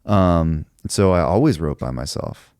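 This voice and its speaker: A man who writes songs, talking in a relaxed podcast conversation, thinking aloud and reflecting at length with many filler words. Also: low-pitched, normal speed, clean tone, no echo, clean studio sound. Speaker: songwriter.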